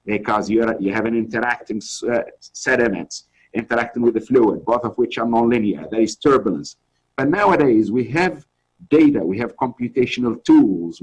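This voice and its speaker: Middle-aged man from Tunisia, husky voice, earnest lecture, video call. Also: medium pitch, rough recording. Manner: earnest, encouraging, many restarts. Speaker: journal editor giving a webinar talk. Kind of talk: informal lecture.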